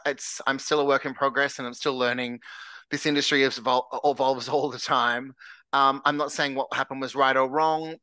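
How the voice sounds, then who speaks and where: An Australian man speaks as an interview guest in a frank, earnest, easygoing manner. His voice is bright and pushed forward. The sound is close and dry, with no room around it. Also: medium pitch, dry recording. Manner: earnest, candid, self-described larrikin. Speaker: singer-songwriter guest. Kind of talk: casual interview chat.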